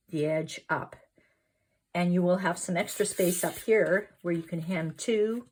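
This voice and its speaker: Middle-aged woman, lowish voice, a bit hoarse from a cold; casual step-by-step sewing tutor. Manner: chatty, explaining step by step with fillers. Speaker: sewing pattern designer.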